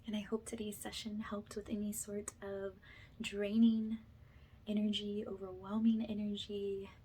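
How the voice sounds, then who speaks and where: A woman with a soft, breathy voice that often drops to a whisper, gently leading a soothing spiritual ASMR session in a reassuring way. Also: medium pitch, rough recording. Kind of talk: ASMR, soothing guided energy cleanse.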